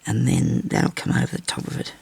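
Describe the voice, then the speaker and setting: An older woman with a raspy, hoarse voice from a bad cough, chatting casually through a sewing tutorial at her craft table. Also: fairly quick, warm tone. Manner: chatty, rambling asides while stitching. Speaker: crafting channel host. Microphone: overhead camera on her hands.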